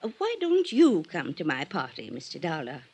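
An actress playing a wealthy older society widow in an old-time radio drama, chatty and nostalgic in a rambling, effusive way. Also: female voice, low voice, wildly swooping pitch, normal speed, darkish tone, slightly rough, heavily acted.

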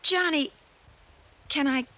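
An actress playing a sincere, emotional young woman in an old-time radio mystery, speaking in a halting, earnest way. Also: high voice, sharp tone, heavily acted. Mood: stunned. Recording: old radio drama recording.

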